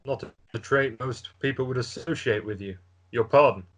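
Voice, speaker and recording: A man's voice: mid-range voice, moderate pace, breathy edge, close to an everyday voice. A man playing a stern, guarded warrior lord, terse and blunt, in an amateur script table read.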